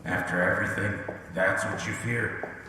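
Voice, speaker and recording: A man's voice: fairly low pitch, fairly even intonation, normal speed, background noise, lightly acted. A man acting a guarded, battle-worn soldier in a sci-fi audio drama, terse and brooding. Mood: probing.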